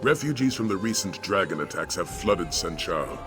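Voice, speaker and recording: Fantasy game mentor, deep voice, noble, formal and earnest, over clear background music. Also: male voice, animated, moderate pace. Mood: grave, resolute. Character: solemn, noble male warrior mentor, courteous and grave. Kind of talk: fantasy video game dialogue.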